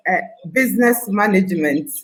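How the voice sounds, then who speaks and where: An older woman from Ghana with a Ghanaian Ashanti accent touched by Scottish, telling of her years as a teacher in a confident, deliberate way. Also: medium pitch, expressive, slight background noise. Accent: Ghanaian, Ashanti (Akan) with some Scottish. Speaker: guest, retired teacher. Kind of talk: interview conversation.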